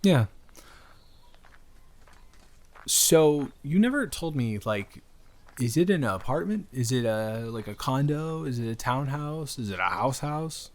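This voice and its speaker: Casting: male actor as cute, awkward young man in an audio roleplay; mid-pitched, earnest, rambling, conversational. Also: animated, a little slow. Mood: friendly, curious. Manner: hesitant, rambling, earnest, with stammered restarts. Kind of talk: improvised audio roleplay addressed to the listener, casual and conversational.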